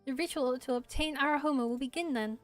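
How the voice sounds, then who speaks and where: A woman voicing a small, gentle, childlike forest spirit in a video game, solemn and earnest, with quaint, ceremonious phrasing. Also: high voice, fast, soft background music, lightly acted. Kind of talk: amateur voice acting of video game dialogue.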